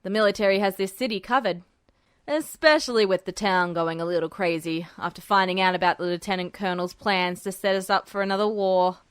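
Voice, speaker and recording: Wry, kindly cop for a story reading woman performing as a man: low, gruff, conspiratorial, dry sound. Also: steady tone, brisk, no echo, natural voice. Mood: wry concern. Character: gruff, wry, kindly male police officer and father. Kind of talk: fan fiction audiobook character voice.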